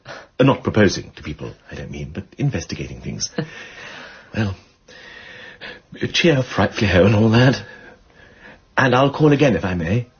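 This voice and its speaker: An actor playing a witty, voluble aristocratic amateur detective in a radio drama, with an upper-class English accent and flippant period slang. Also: male voice, fairly low pitch, very animated, normal speed, pauses often, breathy edge, highs cut off, full character voice. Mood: earnest, bashful.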